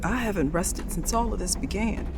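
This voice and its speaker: Audio drama: actress as wary, defiant fugitive woman, mid-pitched, worn out, heavy music bed. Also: normal speed, natural voice. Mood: weary, fearful. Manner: tense, weary. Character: anxious, defiant woman fugitive in a wig, 1970s. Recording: loud music bed behind the voice.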